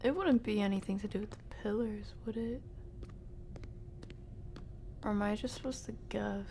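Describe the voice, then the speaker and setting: A young woman streaming a game from her room, chatting casually and playfully, rambling and often dropping to a breathy near-whisper. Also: medium pitch, fairly even intonation, moderate pace, mellow, a little hiss or hum. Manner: playful, rambling, murmuring to herself. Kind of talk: casual live stream chat while playing.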